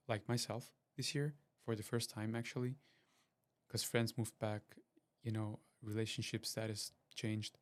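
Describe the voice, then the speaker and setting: A man from Switzerland, hosting a podcast and chatting in a rambling way with lots of fillers and restarts. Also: fairly low pitch. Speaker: podcast host. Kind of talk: casual solo podcast intro.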